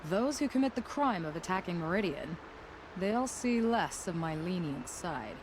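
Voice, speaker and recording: Woman playing a low-voiced, swaggering warden. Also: expressive, normal speed, noisy background, natural voice. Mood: proud, wry. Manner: boastful, commanding. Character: stern, swaggering female prison warden warrior. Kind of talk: video game drama acting. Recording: clear outdoor game ambience behind voice.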